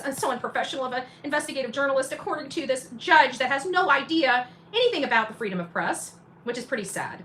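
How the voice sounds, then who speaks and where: A woman's voice: a little high, sharp tone, rough recording. A woman doing casual livestream commentary to her viewers, speaking emphatically, repeating phrases for weight and adding sarcastic asides. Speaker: independent journalist and livestream host. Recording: clear background noise behind the voice.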